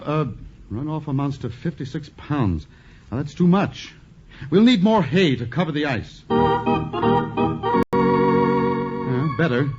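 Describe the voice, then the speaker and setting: Old-radio merchant: American, sly, slightly high, swooping delivery, husky, dull vintage recording. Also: male voice. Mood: brisk, appraising. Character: shrewd, genial American ice merchant. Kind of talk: 1940s radio acting.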